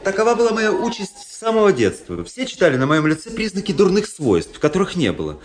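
An actor playing a proud, cynical, world-weary young aristocratic officer in a Russian radio drama, speaking in a measured, rhetorical way. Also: male voice, high-pitched, huge pitch swings, some chatter behind. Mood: bitter.

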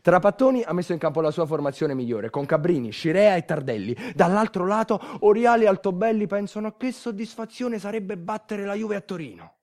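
A man's voice: sits high, expressive, fairly quick, few pauses, a little mellow. A man acting a theatrical monologue as a wry everyman storyteller, colloquial. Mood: wry, nostalgic. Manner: wry, colloquial, repeating catchphrases. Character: wry everyman storyteller recalling football and old times. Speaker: actor narrating a monologue.

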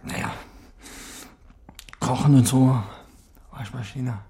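An actor playing a volatile, desperate ex-convict in a radio crime drama, speaking in halting, clipped fragments. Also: male voice, mid-range voice, steady tone, mellow, lightly acted. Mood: subdued, evasive. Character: volatile, desperate male ex-convict cornered by police. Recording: studio radio play with music.

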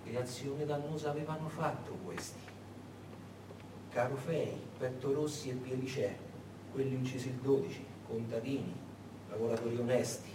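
A man's voice: mid-range voice, steady tone. Echoey, distant man narrating theatrically. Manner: deliberate, emphatic, with dramatic pauses. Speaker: stage narrator and actor. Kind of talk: dramatic theatrical storytelling. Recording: echoey, noisy recording from far off.

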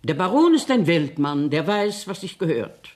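A woman's voice: on the low side, sing-song, moderate pace, darkish tone. An actress playing a strict, fussy old grandmother in a German radio play, scolding and bossy with emphatic theatrical delivery. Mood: exasperated, worldly.

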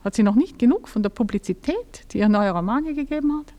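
A woman's voice: fairly high pitch, lively intonation, quick pace, mellow, natural voice. A woman playing a suspicious, piqued society mistress with a pointed, sarcastic manner in a German radio play.

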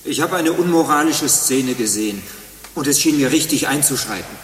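A man's voice: a little high, animated, moderate pace, lightly acted. Distant, echoing, bumbling underling played by a man. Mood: defensive, earnest. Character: earnest, prudish, bumbling male underling. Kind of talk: satirical radio play acting. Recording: distant, roomy echoing hall.

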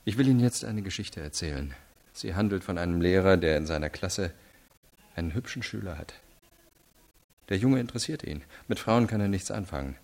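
Hard-nosed 1920s detective for radio crime play: deep register, clipped, insinuating questioning. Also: male voice, expressive, fairly quick, vocal fry, darkish tone. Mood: cold, insinuating. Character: hard, probing male police investigator in 1920s Chicago. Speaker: police interrogator. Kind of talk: German radio crime drama acting.

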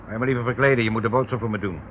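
An actor playing a mocking, menacing uncle who runs a criminal scheme in a radio drama, teasing and commanding. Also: male voice, medium pitch, fairly even intonation, very fast, lightly acted. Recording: tinny band-limited old radio recording, noisy.

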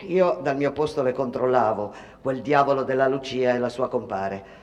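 A woman's voice: rumbling low, moderate pace, darkish tone. An actress playing a fretful, disapproving immigrant seamstress, telling her story in a conversational theatrical monologue. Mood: subdued, ominous. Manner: conversational, repeating words, mimicking others. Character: Italian immigrant factory seamstress, disapproving, fretful mother's confidante. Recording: long reverberant hall.

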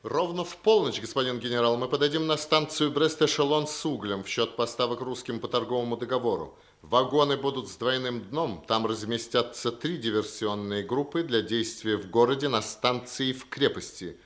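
A medium-pitched male voice , an actor playing a German spymaster in a vintage radio drama. He is efficient and deferential to his superior, yet self-satisfied and sure of himself. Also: moderate pace, few pauses, close to an everyday voice. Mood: businesslike. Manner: crisp, deferential, confident reporting. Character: smug, efficient German intelligence lieutenant colonel. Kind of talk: 1950s Soviet radio theatre acting.

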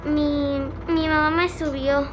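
Little kid in an audio play, innocent and curious, high voice, music behind. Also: female voice, level tone, slow pace. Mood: timid. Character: innocent, curious small child asking questions. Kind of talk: audio drama acting.

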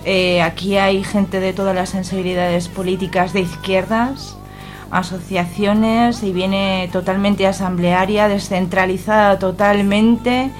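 A woman with an accent from Spain giving earnest, conversational interview answers as an activist guest, phrase by phrase. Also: medium pitch. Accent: Spanish from Spain.

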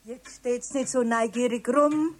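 A woman playing a farmer's wife in a radio play, brisk, bustling and caring. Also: on the high side, fairly even intonation.

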